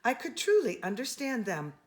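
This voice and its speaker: A woman reading a children's picture book aloud, expressively and with deliberate emphasis on key words. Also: a little high, wildly swooping pitch. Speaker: storybook reader.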